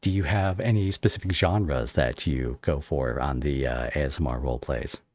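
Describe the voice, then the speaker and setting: A man's voice: rumbling low, moderate pace, darkish tone, no room sound, clean studio sound. A man hosting a casual livestream podcast interview, effusive and given to rambling as he talks with his guest.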